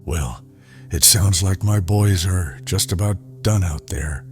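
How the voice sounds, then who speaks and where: A man playing a smug, menacing raider boss in an audio comic, with a gravelly voice and a taunting drawl. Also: slow, soft background music. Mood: smug menace. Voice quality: very deep, gravelly. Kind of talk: audio comic dub acting.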